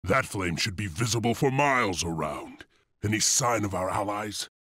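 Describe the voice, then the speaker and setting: A man's voice: on the low side, moderate pace, fairly bright tone, no echo, clean studio sound. A man voicing a steadfast, commanding rebel leader of a wolf clan in a video game. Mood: resolute. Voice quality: husky. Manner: commanding, resolute. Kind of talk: dramatic video game voice acting.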